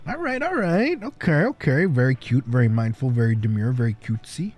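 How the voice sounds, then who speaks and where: A man livestreaming in a playful, casual way, chatting and reading stories aloud with a husky voice. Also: mid-range voice, expressive, a little fast, darkish tone. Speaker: livestreamer, virtual streamer. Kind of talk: casual livestream chat and fan fiction reading. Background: background music.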